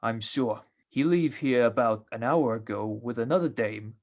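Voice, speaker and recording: An actor playing a greedy, none-too-bright small-time tough guy in an old-time radio crime drama, talking in streetwise slang. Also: medium pitch, close to an everyday voice. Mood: eager, blunt. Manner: drawn-out streetwise gangster slang.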